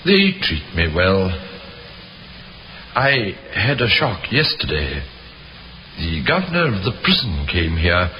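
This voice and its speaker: An actor playing a weary, earnest condemned prisoner in a 1950s radio drama, speaking in subdued, short phrases. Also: male voice, low-pitched, wildly swooping pitch, takes it slowly, long pauses, bright, forceful tone, exaggerated voice. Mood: weary, troubled. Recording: very noisy, dull old radio recording.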